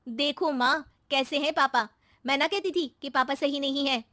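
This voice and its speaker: A woman's voice: high voice, steady tone, moderate pace, pushed and bright, dry recording, studio quality. A woman voicing a greedy, scheming young daughter in a moral story, with an insistent, coaxing manner. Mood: insinuating. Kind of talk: acted moral-story dialogue.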